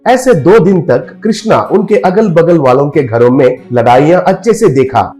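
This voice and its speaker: Animated, higher-voiced male story narrator. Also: moderate pace. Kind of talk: scripted children's moral story narration. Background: music.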